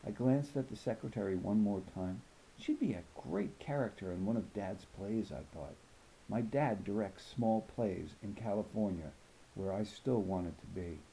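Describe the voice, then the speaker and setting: A man's voice: on the low side, animated, normal speed, vocal fry, warm tone, a little hiss or hum, low-quality recording. A man voicing an observant, bookish, slightly nervous fifth-grade girl narrating her story in a precise, thoughtful way. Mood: thoughtful, uneasy. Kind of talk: first-person children's novel narration.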